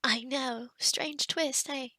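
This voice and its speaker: A woman's voice: sits high, steady tone, takes it slowly, pushed and bright, no room sound, heavily acted. A woman playing a kind, flustered young-sounding forest witch in an ASMR roleplay, stammering and often dropping to a whisper. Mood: gentle, explaining. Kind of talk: ASMR roleplay addressed to the listener.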